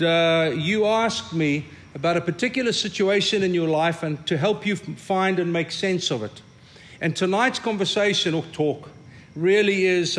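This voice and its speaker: An older man with a South African accent, Afrikaans being his first language, leads a congregation in a sermon that sounds like a conversation. His voice sits high for a man. He talks in a reflective way and often says a word twice before a thought gets going. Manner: reflective, repeats words when starting a thought. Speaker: pastor.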